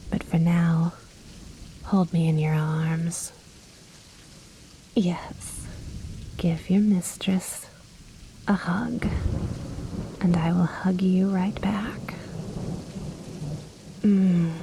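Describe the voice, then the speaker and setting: A commanding vampire mistress, played by a woman for an ASMR roleplay, speaks slowly and close in a low-pitched, even murmur. Her breathy whisper is haughty and coaxing, with a possessive, seductive menace. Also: slight background noise, low-quality recording.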